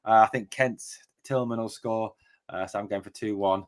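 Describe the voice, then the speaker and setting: A man hosting a casual fan podcast, speaking haltingly with many fillers and restarts. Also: fairly low pitch, fairly even intonation. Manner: halting, lots of fillers and repeated starts. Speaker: podcast host.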